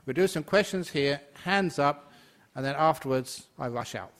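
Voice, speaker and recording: An older monk answers questions from the floor in a loose, conversational way. His voice sits a little high for a man, he jokes and laughs easily, and he keeps things chatty with plenty of fillers. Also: lively intonation, moderate pace, a bit bright. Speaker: Buddhist monk and teacher. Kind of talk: Dharma talk Q&A.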